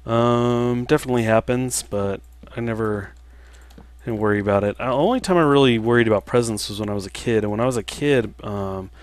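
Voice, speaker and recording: A man in his thirties chatting casually on a livestream while he plays, a game developer answering viewer questions in a relaxed, halting way with many fillers. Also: medium pitch, clear, resonant tone, no room sound. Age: 30s.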